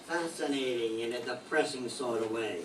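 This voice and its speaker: A man's voice: medium pitch, normal speed, fairly bright tone, close to an everyday voice. An older man playing a dry, sardonic, hard-boiled male private detective who also narrates, in an amateur 1940s-style radio play. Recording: echoey room from far off, faint hiss.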